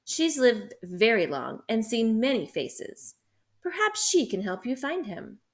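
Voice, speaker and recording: A woman's voice: on the high side, sing-song, measured pace. A woman reading a helpful street vendor.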